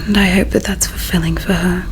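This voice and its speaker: A woman playing a grieving, devout alien in a whispered ASMR roleplay, speaking softly and solemnly in a breathy whisper with pauses. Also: fairly low pitch, flat delivery, noisy background, close to an everyday voice. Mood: wistful, resentful. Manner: slow, soft, solemn, with pauses. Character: grieving, devout alien priestess's daughter preparing someone for war.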